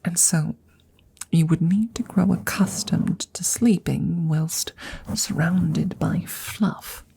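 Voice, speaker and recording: A woman voicing a courteous, eccentric female dragon in an ASMR fantasy roleplay, speaking in a soft breathy whisper with halting, formal, coaxing phrasing. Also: lowish voice, heavily acted. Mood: proud, wondering.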